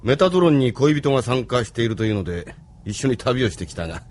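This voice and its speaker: An actor playing a dignified older man, grave and measured, in a Japanese audio drama. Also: mid-range voice, very animated, darkish tone, lightly acted. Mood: surprised, musing. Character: dignified old man, retired activist, stern and weary.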